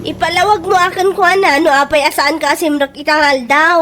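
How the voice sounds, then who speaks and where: A woman's voice: sits high, steady tone, fairly quick, noisy background, lightly acted. A woman with an Ilocano accent playing an anxious office co-worker in a comedy radio drama. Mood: urgent. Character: anxious female office co-worker of a strict boss.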